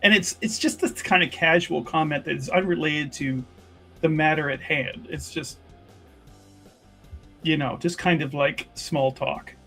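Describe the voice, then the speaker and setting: A man improvising third-person narration as a tabletop roleplaying player, casual and halting, often correcting himself. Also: on the high side, creaky, bright, forceful tone, soft background music.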